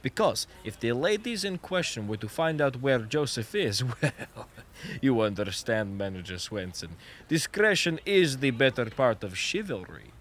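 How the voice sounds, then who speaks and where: A man's voice: mid-range voice, sing-song, a little slow, fairly bright tone, a little hiss or hum. A man playing a wily, grandiloquent minder of a ballplayer in a radio comedy, with an insinuating manner. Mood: sly, smooth. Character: smooth-talking, wily male minder of a ballplayer.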